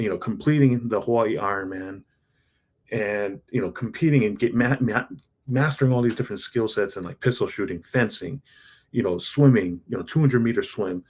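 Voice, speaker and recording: A low, husky male voice in his thirties, talking straight to the listener in a loose motivational monologue. He is earnest and rambling, and he often trips over his words and restarts. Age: 30s. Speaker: self-improvement vlogger.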